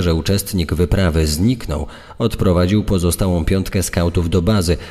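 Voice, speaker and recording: A man's voice: low-pitched, warm tone. A man reading scripted passages for a true-crime podcast. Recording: long hall reverb.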